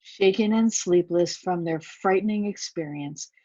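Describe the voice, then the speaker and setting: A woman's voice: lowish voice, a little slow, warm tone, no room sound, muffled recording. A woman reading a children's picture book aloud, with asides to the young listeners. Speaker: volunteer story reader.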